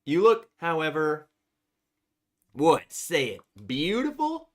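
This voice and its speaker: A man's voice: fairly high pitch, wildly swooping pitch, takes it slowly. A man hosting a casual livestream, reading viewer chats aloud in a chatty, upbeat way, sounding congested from a cold. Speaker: livestream host.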